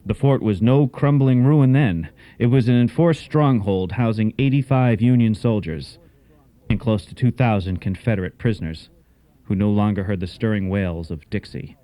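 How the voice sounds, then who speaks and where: A man hosting and narrating a scripted radio tale in a measured, literary, dramatic manner. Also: fairly low pitch, warm tone. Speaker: host and narrator.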